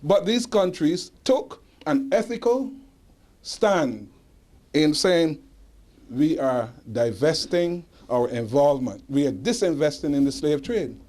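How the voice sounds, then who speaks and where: A man's voice: on the high side, lively intonation, a little mellow. Barbadian man lecturing with rhetorical "huh?". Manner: rhetorical, adds "huh?" tag questions; emphatic, with searching pauses for dates. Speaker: lecturer on history. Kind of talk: lecture answering audience questions. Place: indoor lecture hall.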